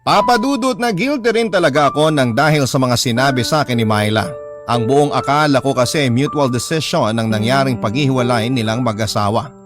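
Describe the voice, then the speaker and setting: A man narrating a remorseful first-person love confession as a young teacher, in a sentimental, measured radio drama style. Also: mid-range voice, natural voice. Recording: music bed under voice.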